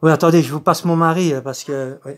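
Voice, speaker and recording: A man's voice: on the high side, fairly even intonation, fast talker, natural voice. A man voicing a confused, polite eighty-year-old woman with a Belgian French accent, hesitant and repetitive, as in a prank phone call. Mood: insistent, chatty.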